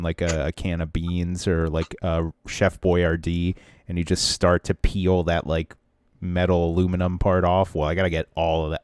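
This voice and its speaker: Man, congested, rambling podcast co-host, very low pitch, clear tone. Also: darkish tone, no room sound, clean studio sound. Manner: rambling storyteller who often restarts and trails off. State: congested, stuffy nose. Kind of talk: casual podcast chat.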